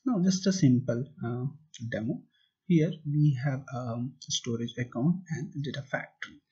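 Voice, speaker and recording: Mellow-voiced man explaining a tutorial. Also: medium pitch, unhurried, slightly rough. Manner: plain step-by-step explaining, asks and answers own questions. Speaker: tutorial instructor. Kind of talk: explaining slides and screen demo. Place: voice-over. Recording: muffled lo-fi recording.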